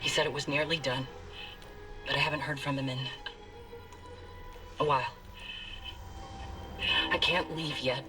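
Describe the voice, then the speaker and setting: A woman's voice: a bass voice, steady tone, unhurried, pauses often, rough recording. Grim woman scientist over music. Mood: serious. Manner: urgent, explanatory. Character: grim, determined woman scientist, a weary survivor. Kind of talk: dramatic video game acting. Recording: music under the voice.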